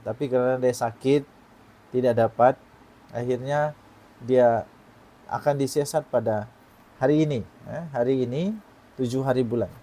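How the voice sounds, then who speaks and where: A man's voice: mid-range voice, fairly even intonation, moderate pace, pauses often, warm tone. A man in his forties with a Malaysian Malay accent, giving online political commentary and putting questions to his viewers. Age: 40s. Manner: emphatic, poses rhetorical questions to viewers.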